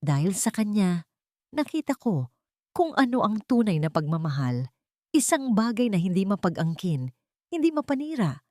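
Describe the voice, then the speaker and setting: A woman's voice: medium pitch, very animated, normal speed, long pauses, a little mellow, dry recording, studio quality, natural voice. Woman acting a firm, protective story lead. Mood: accusing, firm.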